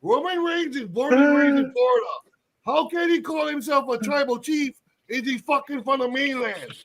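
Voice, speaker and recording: A man's voice: extremely high pitch, moderate pace, fairly bright tone, dry recording, rough recording. A man from Hawaii, a guest on a live stream panel, ranting in a brash, profane and emphatic way. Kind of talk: heated casual rant.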